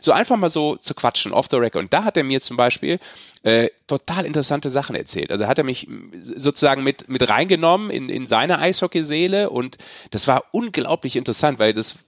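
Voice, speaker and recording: A man's voice: medium pitch, expressive, a little fast, no room sound, studio quality. A man chatting casually about sport as a podcast co-host, in a colloquial, anecdotal way.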